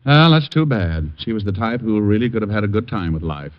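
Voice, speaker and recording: Wisecracking smooth private eye. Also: male voice, lowish voice, big pitch swings, fairly quick. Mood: wry, affectionate. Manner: wry, breezy, teasing. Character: wisecracking, flirtatious private detective, smooth and cool. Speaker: lead actor in a radio detective series. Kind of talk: old-time radio drama acting. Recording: dull vintage radio recording.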